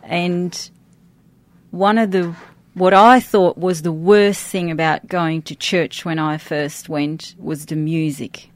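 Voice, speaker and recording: Lowish-voiced woman, non-native English speaker. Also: fairly even intonation, slow pace, dry recording. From grew up outside Australia. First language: a language other than English. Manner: informal, hesitant, thinking aloud. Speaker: interview guest, church worship team member. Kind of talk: conversational interview.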